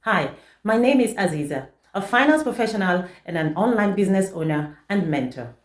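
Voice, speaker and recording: Nigeria-born woman doing a peppy sales pitch, lively voice, echoey room. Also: brisk. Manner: upbeat, persuasive. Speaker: online business mentor, host. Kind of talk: promotional testimonial address.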